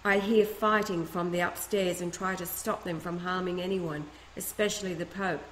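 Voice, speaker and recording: A woman reading a first-person letter aloud in a steady, earnest way, with a few small restarts. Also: on the low side, normal speed, slight background noise. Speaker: reader of a letter. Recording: echoey room, faint ambience.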